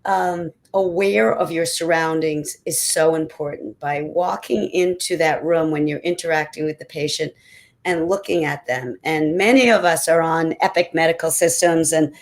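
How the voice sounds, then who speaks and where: A woman's voice: low voice, normal speed. Emphatic woman doctor in her sixties. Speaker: panelist, doctor. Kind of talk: panel discussion answer.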